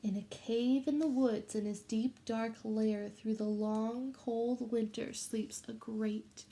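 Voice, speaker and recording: A woman reading a picture book aloud to young children in an expressive way, pausing to ask them questions. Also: a little high, slight background noise. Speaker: children's storybook read-aloud host.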